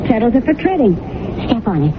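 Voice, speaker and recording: A woman's voice: on the high side, a little fast. An actress playing a curious, playful young woman in 1970s radio drama style. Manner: lively, playful. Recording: noisy tinny old radio broadcast.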